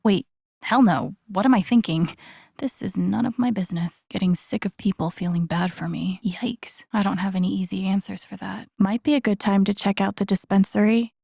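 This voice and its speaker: Video game lead: wry young woman, empathetic amateur sleuth, casual inner monologue, creaky voice, very dry close recording. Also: mid-range voice, steady tone, moderate pace, darkish tone, no echo. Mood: wry, musing, then concerned, subdued. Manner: casual, muttering to herself, dry humor.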